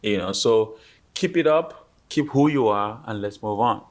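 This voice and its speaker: A man's voice: fairly low pitch, expressive, measured pace. A man on a reunion panel speaking earnestly, encouraging a fellow guest.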